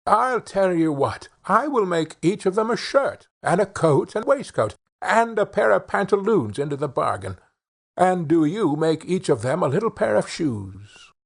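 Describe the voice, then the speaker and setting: A man voicing a shoemaker's kindly, grateful wife in an earnest, caring, gently eager way for a storybook. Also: high-pitched, very animated, unhurried, creaky, breathy edge, pitched above the performer's own voice, exaggerated voice.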